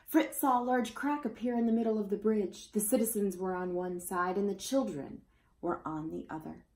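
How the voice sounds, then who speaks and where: A woman's voice: mid-range voice, moderate pace, dark tone. A woman reading a children's picture book aloud expressively, as storyteller to young listeners. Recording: roomy, echoey indoor sound.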